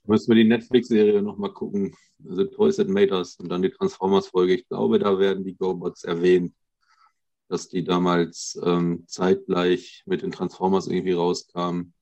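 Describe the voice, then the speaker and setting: A man's voice: deep voice, flat delivery, normal speed, warm tone, no room sound. A man chatting casually as a podcast panellist. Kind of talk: casual chat. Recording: slightly dull, lo-fi audio.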